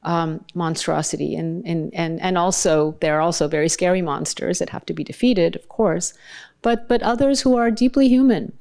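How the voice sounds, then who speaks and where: A woman whose first language is Bengali, giving an academic lecture with slides and often pausing to search for her words. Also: on the low side, a little mellow. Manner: thoughtful, searching for words mid-sentence. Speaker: translator giving a keynote talk.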